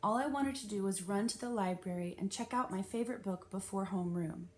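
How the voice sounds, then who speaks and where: A woman narrating a children's novel aloud in an expressive, animated and emphatic storytelling style. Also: medium pitch, fairly quick, slight background noise.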